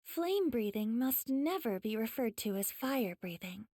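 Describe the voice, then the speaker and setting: A high, very lively young woman's voice for an anime game mentor. She is gentle and polite, with a teasing sweetness. The sound is close and dry. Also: unhurried, no echo. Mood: calm, kind. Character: gentle, encouraging young female demon slayer mentor.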